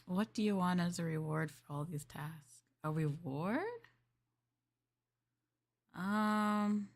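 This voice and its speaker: A young woman chatting in an ASMR maid roleplay. She rambles playfully, giggles and hesitates with fillers while speaking to the listener as her master. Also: mid-range voice. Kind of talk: soft casual ASMR roleplay chat.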